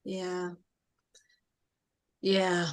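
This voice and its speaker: A woman's voice: on the low side, monotone, very slow. A woman reading an earnest, probing teenage jock boy in a casual, questioning way at a table read. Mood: concerned. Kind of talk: table read of a film script.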